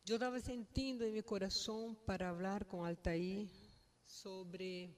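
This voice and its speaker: A woman with a Brazilian Portuguese accent, a native Portuguese speaker, giving a halting, unscripted personal speech at a funeral, searching for words. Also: mid-range voice, steady tone. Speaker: mourner giving a family eulogy.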